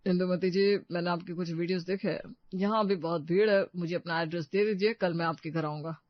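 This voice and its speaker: An actor voicing a modest, polite male celebrity vlogger in an animated moral story. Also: mid-range voice, brisk, no room sound, muffled recording, close to an everyday voice.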